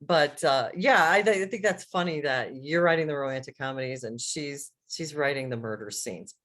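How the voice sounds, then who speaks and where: A woman's voice: deep voice, normal speed, fairly bright tone. A mature woman conducting a casual, conversational interview over a video call. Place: indoor room with bookshelves, video call. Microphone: video call microphone.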